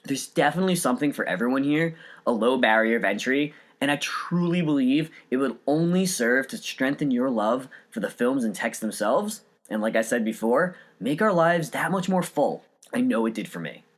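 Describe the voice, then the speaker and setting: A man's voice: mid-range voice, expressive, moderate pace. A man hosting a solo podcast, reading scripted narration in an earnest, enthusiastic way. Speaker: podcast host.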